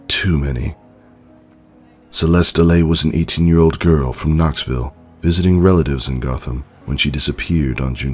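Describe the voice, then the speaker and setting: An actor playing a grim, brooding detective, giving somber, measured noir narration with music underneath. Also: male voice, a bass voice, a little mellow, breathy edge.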